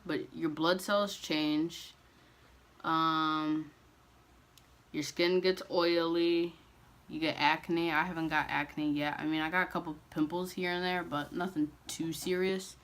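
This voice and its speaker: A young man chatting casually to camera as a vlogger, relaxed and loose, with frequent ums and restarts. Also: on the high side, slow.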